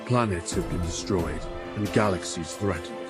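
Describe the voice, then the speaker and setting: A man playing a solemn war veteran, delivering scripted dramatic narration of a grim space chronicle in a measured, theatrical way. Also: low voice, lightly acted. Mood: somber, reflective. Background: loud music.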